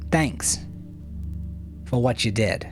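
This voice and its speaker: A man voicing a worn, wary, wry man who speaks softly, tersely and hesitantly in an audiobook story. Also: mid-range voice, lively intonation, slow, soft background music, pitched above the performer's own voice. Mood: earnest, wary. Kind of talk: dramatised audiobook dialogue.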